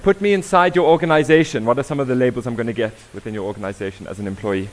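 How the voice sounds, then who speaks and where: A young South African man giving an interactive conference talk, firing questions at the audience in a lively way. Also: medium pitch, very fast. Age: young adult. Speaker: diversity workshop presenter.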